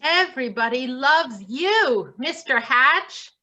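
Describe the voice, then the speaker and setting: Woman reading kids verse, high lively voice, really drawn out. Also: slow. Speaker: reader in a readers theatre. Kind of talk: expressive children's story and verse reading.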